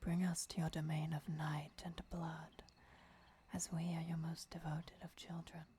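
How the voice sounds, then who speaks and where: A woman playing a devoted, gentle vampire mentor and lover in a breathy, whispered ASMR roleplay, instructing in a soothing way. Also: low voice, flat delivery, unhurried, a little hiss or hum. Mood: reverent.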